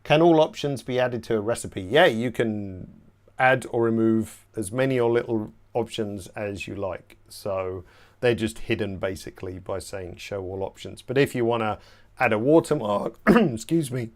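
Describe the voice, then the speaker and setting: Relaxed man presenting a software webinar. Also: fairly low pitch, normal speed. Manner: relaxed, chatty explaining with filler words. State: slightly losing his voice. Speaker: software trainer hosting a webinar. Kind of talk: live screen-share tutorial.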